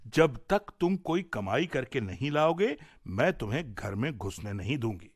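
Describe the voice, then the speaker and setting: A man's voice: mid-range voice, big pitch swings, normal speed, breathy edge. A man voicing a fed-up, insistent village wife who scolds her husband in a radio folk tale. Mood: angry.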